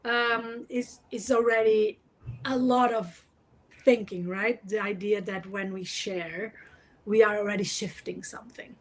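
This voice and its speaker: A woman host speaks slowly, in a middle-pitched voice, over a video call. She sounds earnest and keeps searching for her words as she talks. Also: fairly even intonation. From Portugal. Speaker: podcast host. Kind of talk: live podcast conversation.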